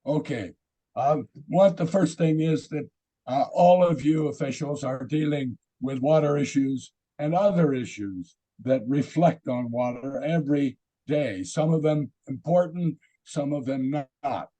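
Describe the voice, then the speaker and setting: A hoarse man with a raspy voice giving a webinar presentation over a video call, halting with many fillers. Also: fairly high pitch, dark tone. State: hoarse from illness.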